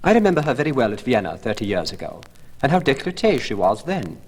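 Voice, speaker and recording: A man's voice: medium pitch, sing-song, quick pace, voice pushed up. A man voicing a playful, gossipy old society hostess, arch and exclaiming, on an old LP. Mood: playful, scandalized. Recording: old LP, long reverb, faint noise.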